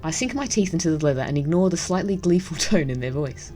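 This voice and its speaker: A woman with a low, mellow voice hosts a game playthrough. She moves between casual commentary and reading narration aloud, with faint music behind her. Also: animated, quick pace. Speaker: gaming video host.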